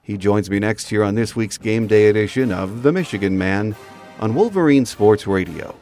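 A man's voice: lowish voice, moderate pace, a little mellow, soft background music. An American man hosting a sports podcast, delivering scripted commentary as a monologue. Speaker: podcast host.

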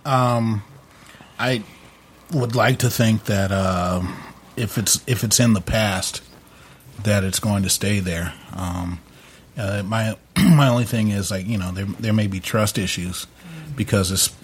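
A man's voice: fairly low pitch, slow, slight background noise. A man in a casual panel chat, hesitant and repeating words as he goes. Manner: hesitant, stammering repetitions. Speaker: talk show panelist.

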